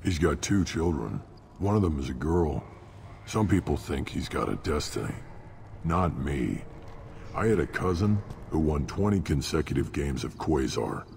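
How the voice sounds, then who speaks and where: Very deep gravelly alien brute. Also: male voice, expressive, moderate pace, darkish tone, exaggerated voice. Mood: sardonic. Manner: gruff, dismissive, sardonic. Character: surly, blunt alien Krogan warrior, hulking and scornful. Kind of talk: video game character acting. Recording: long reverb, clear background noise.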